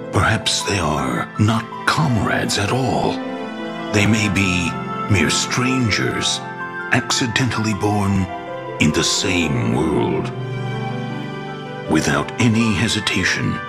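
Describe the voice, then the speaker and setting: Very deep, solemn storyteller. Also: male voice, big pitch swings, over loud music, full character voice. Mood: solemn, ominous. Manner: grave, deliberate. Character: solemn, ominous male storyteller of a fantasy war. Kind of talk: scripted epic narration.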